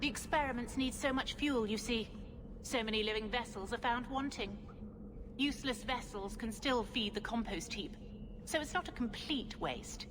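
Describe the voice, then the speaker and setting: A woman's voice: a little high, normal speed, lightly acted. An actress playing a haughty, scheming female blood mage villain in a video game, speaking in a grandiose, menacing, theatrical way. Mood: gleeful scheming. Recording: long hall reverb, clear background noise.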